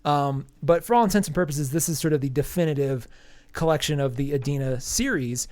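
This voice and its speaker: Guy with a stuffed-up nose, kinda high voice, rambly podcast review, dry close sound. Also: brisk, darkish tone, no echo. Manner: rambling, many ums and restarts. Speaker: podcast host reviewing comics. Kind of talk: casual review chat.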